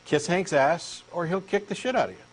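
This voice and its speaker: A man's voice: high voice, a little fast, slight background noise. A man with a Texan accent reading a comic dialogue as an eager, insistent believer. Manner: eager, insistent true believer, earnest and pushy. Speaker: co-host doing a comic dramatic reading.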